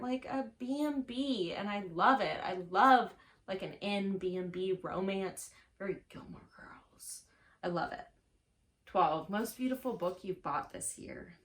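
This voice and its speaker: A woman's voice: fairly high pitch, a bit bright. A young adult woman vlogging in a casual, gushing chat with offbeat dry asides. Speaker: book vlogger. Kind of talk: casual book chat to camera.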